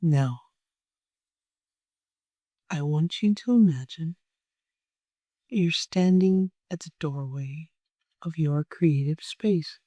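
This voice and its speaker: Slow, soothing meditation guide, mellow voice. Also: medium pitch, no echo, muffled recording. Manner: gentle, soothing, unhurried with long pauses.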